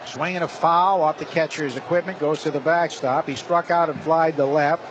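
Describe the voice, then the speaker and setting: A man's voice: fairly high pitch, fairly even intonation, normal speed, dull audio. A man doing live play-by-play baseball commentary on the radio in clipped, descriptive phrases. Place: ballpark broadcast booth. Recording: noisy live stadium broadcast. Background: clear stadium ambience.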